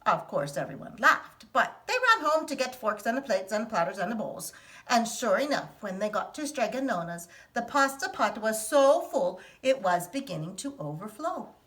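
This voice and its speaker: A woman teacher reading a picture book aloud to children in an expressive storytelling manner. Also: fairly high pitch, moderate pace. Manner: expressive, sing-song storytelling.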